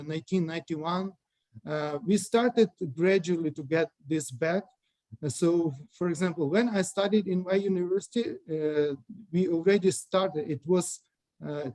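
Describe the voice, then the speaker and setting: A man's voice: a little high, a little mellow, dry recording. A man in his thirties with a Ukrainian accent, a Russian native speaker, explaining things casually as a guest on a video call, with many fillers and restarts. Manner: halting, many fillers and restarts, informal phrasing.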